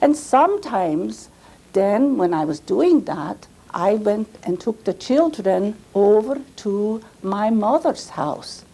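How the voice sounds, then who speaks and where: A woman playing an earnest Swiss immigrant grandmother in a reminiscing stage monologue, with a Swiss German accent and careful, halting, simple English. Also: mid-range voice, expressive, mellow, husky, exaggerated voice, low-quality recording. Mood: fond, reminiscing. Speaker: storyteller performing a reenactment. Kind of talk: dramatized first-person monologue.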